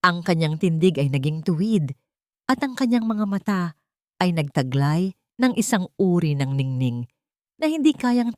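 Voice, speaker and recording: A woman with a Filipino accent narrating a scripted dramatic story in a slow, deliberate, solemn way. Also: lowish voice, a little mellow, no echo, studio quality.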